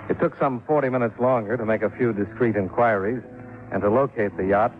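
An actor in a 1950s radio drama playing a wry, clipped, sardonic insurance investigator who also narrates. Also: male voice, lowish voice, brisk, lightly acted. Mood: dry narration. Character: wry, hard-boiled male insurance investigator narrating his case. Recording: tinny phone-like 1950s radio recording with music.

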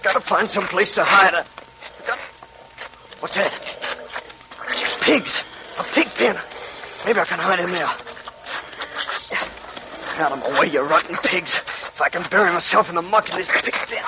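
An actor playing a vicious, desperate escaped convict with barking gangster menace in a 1940s radio crime drama. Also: male voice, high-pitched, measured pace, pauses often. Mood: panicked, hunted. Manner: barking, menacing tough-guy gangster talk. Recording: noisy, crackly 1940s radio recording.

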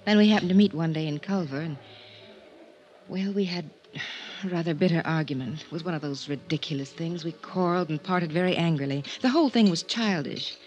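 An actress playing a cool, candid, imperious widow in a 1950s radio drama, composed and direct. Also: female voice, on the low side, a little fast, darkish tone, lightly acted. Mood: candid, reflective. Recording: 1950s radio recording with music.

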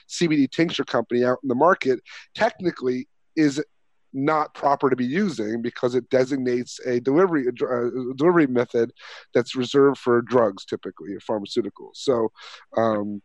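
A man's voice: mid-range voice, brisk, darkish tone, no room sound, dull audio. A man hosting a podcast, chatting earnestly with frequent restarts and fillers. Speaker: podcast host. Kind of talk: casual interview chat.

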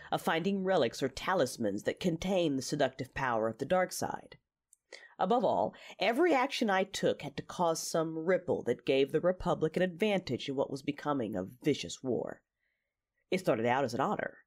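A woman playing a reflective, war-weary young female knight, narrating her memories earnestly in an audio drama. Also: low-pitched. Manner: earnest, reminiscing.

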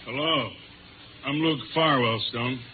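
A man playing a smug, menacing crime boss in a 1950s radio drama, polite and measured with veiled threats. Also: mid-range voice, soft background music, natural voice. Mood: falsely genial. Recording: noisy, narrow-band old radio broadcast.

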